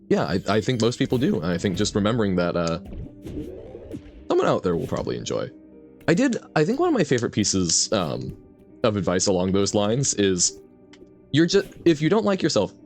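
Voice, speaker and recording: Man streamer, lowish voice, very expressive pitch swings, rambling casual chat, frequent laughs, faint music behind. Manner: rambling, self-reflective, laughs often; reads and answers chat. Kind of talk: casual gameplay commentary.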